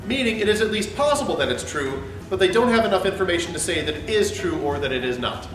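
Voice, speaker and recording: Guy doing a dramatic sarcastic reading, high voice, roomy off-mic sound w music behind. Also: big pitch swings, fast, recorded from a distance. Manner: theatrical, emphatic, sarcastic delivery. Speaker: host reading his own essay. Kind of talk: dramatic reading of an argumentative script.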